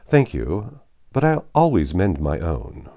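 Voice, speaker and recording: A man voicing a proud, reserved young gentleman in an audiobook of a classic novel, speaking in a formal, measured, cutting way. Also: low voice, huge pitch swings, dull audio, exaggerated voice. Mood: cool, curt.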